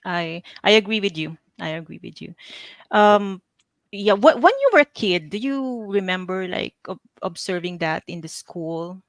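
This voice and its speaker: Female host, Filipino accent, chatty interview style, low register with heavy fry. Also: expressive, normal speed, no echo. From Philippines. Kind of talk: casual live interview chat.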